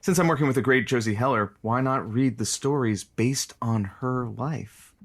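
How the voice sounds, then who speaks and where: A man's voice: medium pitch, normal speed, lightly acted. A man playing a glib, commitment-shy ladies' man trading dry puns and banter in a comedy audio drama. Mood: casual, evasive.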